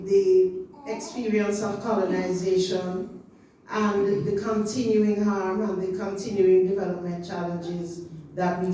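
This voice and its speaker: A woman's voice: mid-range voice, dark tone, slight background noise. A woman from Jamaica with a Jamaican accent, giving an impassioned public talk as an official of a regional organization. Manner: impassioned, rhythmic emphasis, rising to chant-like slogans. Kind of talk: public talk at a community meeting. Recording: echoey hall, distant mic, faint ambience.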